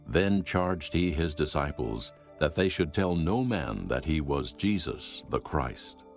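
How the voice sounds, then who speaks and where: Super deep guy reading bible narration, gravelly, solemn but expressive. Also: slow, soft background music. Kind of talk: scripted scripture narration, archaic English.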